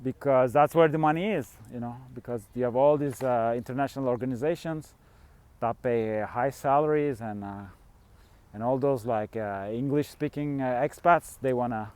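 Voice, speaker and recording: A man's voice: medium pitch, moderate pace, darkish tone, clean tone, no echo. A middle-aged man from Switzerland, rambling candidly through a casual vlog monologue with the odd chuckle. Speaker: fasting coach and vlogger.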